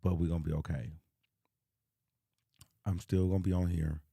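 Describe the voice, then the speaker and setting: A man hosting a casual livestream as a life coach, talking softly and hoarsely because his voice is mostly gone. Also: sits low, little pitch movement, normal speed, no room sound, studio quality. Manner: soft, subdued, talking through a lost voice.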